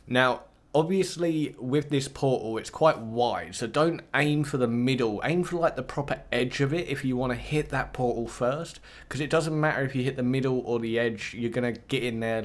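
A man giving casual, enthusiastic gameplay commentary, rambling with many fillers. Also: medium pitch. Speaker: gaming video creator.

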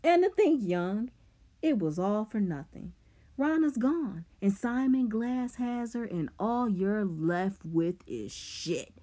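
A woman's voice: mid-range voice, very animated, slow pace, warm tone, voice pushed up. A woman voicing a bitter teenage boy in an audiobook, mocking and goading with heavy emphasis. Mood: scornful taunting. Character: taunting, bitter teenage boy sneering at his rivals.

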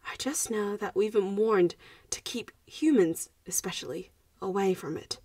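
Girl roleplay by a woman, nervous popular vampire schoolgirl looking out for you, hesitant, mid pitch, dry sound bit off the mic. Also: a little slow, a little mellow, husky, no room sound, far from the mic. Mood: worried, uncertain. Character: anxious, protective popular vampire schoolgirl, student representative. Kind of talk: audio roleplay addressed to the listener.

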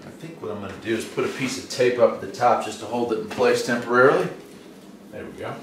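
A man's voice: mid-range voice, quick pace, fairly bright tone. A middle-aged American man giving a casual hands-on demonstration in a folksy, chatty way. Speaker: host. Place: indoor home workshop and garage. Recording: echoey room, distant mic, faint noise.

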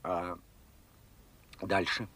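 A man's voice: low voice, little pitch movement, extremely slow, bright, forceful tone, breathy edge, full character voice. An actor playing an eager, naive young revolutionary fighter who questions his father with simple wonder, in Soviet radio drama style. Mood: quiet wonder. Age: young adult.

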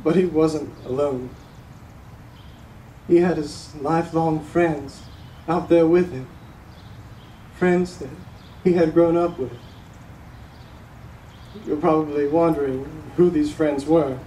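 A young man playing a solemn, resolute grieving father addressing a court in a stage monologue, with heavy pauses. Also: fairly high pitch, steady tone, mellow. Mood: grave sorrow. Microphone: distant camera microphone. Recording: roomy, noisy, recorded from far off.

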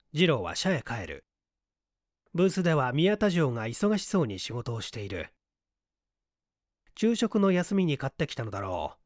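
Expressive male audiobook narrator, dry sound. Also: mid-range voice, no room sound. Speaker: audiobook reader. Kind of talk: scripted story narration.

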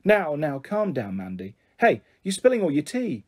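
A man voicing a kindly, protective father who soothes and gently questions, in an audiobook. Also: on the high side, wildly swooping pitch, moderate pace, breathy edge, full character voice. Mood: soothing concern. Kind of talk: audiobook character voice.

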